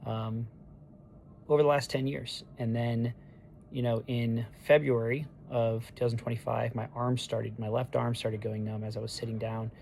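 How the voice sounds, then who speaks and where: A man in his thirties with a hoarse voice the day after neck surgery, talking through a casual video diary in a halting way, full of ums and restarts. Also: medium pitch, level tone, darkish tone, a little hiss or hum. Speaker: patient sharing a recovery diary. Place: hospital room on discharge day.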